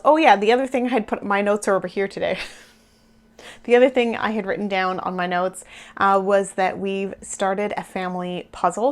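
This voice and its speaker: A woman's voice: medium pitch, clear, resonant tone. A woman in her thirties or forties hosting a knitting podcast, chatting casually and rambling with small laughs, her voice a little worn. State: losing her voice a bit. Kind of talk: casual chat to camera.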